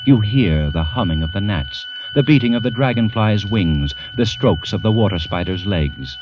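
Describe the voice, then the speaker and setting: An actor playing a grave, deliberate narrator in a vintage radio drama, telling of a condemned man's ordeal with intensity. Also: lowish voice, expressive, background noise, lightly acted. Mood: grave, suspenseful. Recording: vintage radio, dull, loud music behind.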